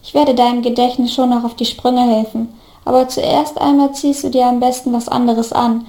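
A woman voicing a devoted, earnest young man in storybook dialogue, gently reassuring his best friend. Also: fairly high pitch, little pitch movement, moderate pace, roomy sound, natural voice. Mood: caring, practical.

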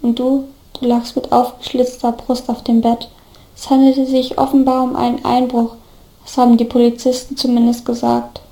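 A woman voicing a devoted, earnest young man in storybook dialogue, gently reassuring his best friend. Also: fairly high pitch, completely flat delivery, normal speed, vocal fry, reverberant. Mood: wistful.

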